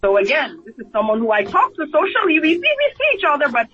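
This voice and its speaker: Nigerian-accented man, high voice, earnest radio guest, muffled broadcast sound. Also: wildly swooping pitch, fast. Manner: earnest, repeats words when starting a thought, acts out quoted voices. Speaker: physician guest. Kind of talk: radio interview.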